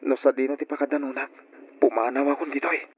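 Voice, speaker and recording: An actor with an Ilocano accent playing a meek, mistreated orphan of twenty, pleading and plaintive, in old radio drama style. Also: male voice, mid-range voice, flat delivery, quick pace, husky, faint music behind, close to an everyday voice. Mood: forlorn. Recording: dull, noisy old radio drama recording.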